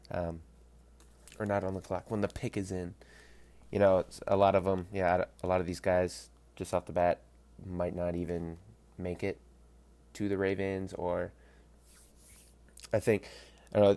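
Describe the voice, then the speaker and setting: Host, male, deep voice, nasal and stuffed up, loose rambling delivery with dry humor. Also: darkish tone. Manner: rambling, loses his thread and corrects himself mid-sentence. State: stuffy nose from allergies. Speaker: podcast host. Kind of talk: casual solo sports podcast.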